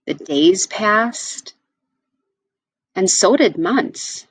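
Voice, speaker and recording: A woman tells a children's story in an expressive read-aloud style. Her pitch moves freely and animatedly as she shapes each phrase with care. The sound is dry, with no room echo. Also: husky. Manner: expressive, with deliberate phrasing and asides to listeners.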